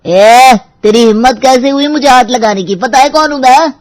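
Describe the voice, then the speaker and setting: A woman's voice: high voice, moderate pace, voice pushed up, close to an everyday voice. A woman voicing a brash, indignant young male bully in an audio story. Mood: outraged.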